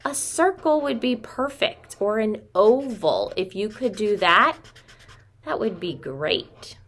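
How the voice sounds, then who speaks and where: Slow-paced woman teaching little kids. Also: medium pitch, expressive, fairly bright tone. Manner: simple, child-directed talk, addressing listeners as friends. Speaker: preschool teacher. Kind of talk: craft demonstration for young children. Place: small room at home.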